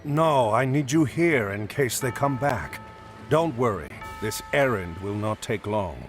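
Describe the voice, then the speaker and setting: A man's voice: medium pitch, lively intonation, a little slow, soft background music, close to an everyday voice. A man playing a proud, battle-weary middle-aged admiral in a mythic video game, stern and resolute. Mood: firm. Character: proud, battle-weary Greek-myth admiral, a seasoned hero. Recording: faintly noisy game capture.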